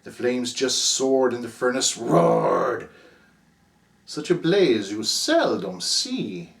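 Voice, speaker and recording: A man with an Irish accent reciting a ballad as the narrator Cap, in a rhythmic, theatrical delivery. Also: a little high. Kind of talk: dramatic poem recitation.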